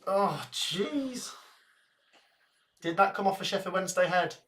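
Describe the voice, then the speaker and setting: A man giving live fan commentary on a match, excitable and shouting at goals, his voice going hoarse and breathless. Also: high voice, unhurried. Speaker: watchalong host commentator.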